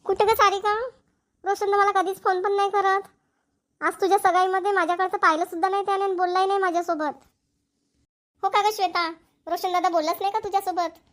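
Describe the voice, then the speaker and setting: A woman performs an excitable young cartoon woman. She chats in a familiar, exclamatory village style, like a close sister in a homely comedy. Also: very high-pitched, fast. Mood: puzzled, complaining. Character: chatty, excitable young Marathi women, two close sisters. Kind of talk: Marathi cartoon comedy dialogue.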